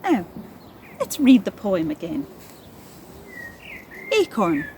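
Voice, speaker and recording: A woman presenting and reading poetry for children in an expressive, deliberate, encouraging way. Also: medium pitch, a little hiss or hum. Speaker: presenter and poem reader.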